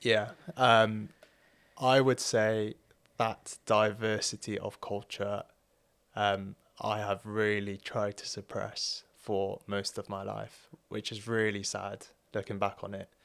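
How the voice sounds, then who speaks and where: A man's voice: fairly low pitch, completely flat delivery, slow pace, gravelly, a bit bright, smooth. A young man, born in South Korea and raised in Wales, speaks candidly as a guest and talks hesitantly with many fillers. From born in South Korea, grew up in Wales. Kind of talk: candid personal interview.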